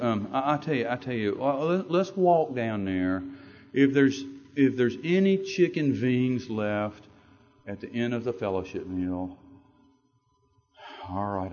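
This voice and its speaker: A man with a Southern US accent, a pastor telling a story in a sermon with animated, stammering asides. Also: medium pitch. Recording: dull lo-fi sound.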